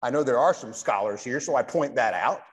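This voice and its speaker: A man in his sixties, a Baptist pastor, giving a church lecture in a deliberate, emphatic preacher's delivery with pauses. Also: medium pitch, animated. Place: large church hall, speaking at a lectern. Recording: reverberant church hall.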